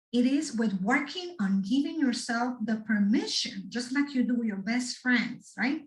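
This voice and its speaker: Lady, middle-aged, pumping up students, kinda high voice, echoey and far from the mic. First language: Spanish. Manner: animated, addresses the audience directly with frequent "right?". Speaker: speaker at a student workshop. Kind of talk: motivational talk to students.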